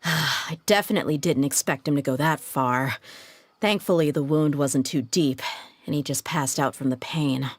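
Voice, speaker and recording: Low-voiced, confident female desert mercenary. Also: dry recording. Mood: calm, concerned. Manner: brisk, cocky, casually menacing. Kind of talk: video game voice acting.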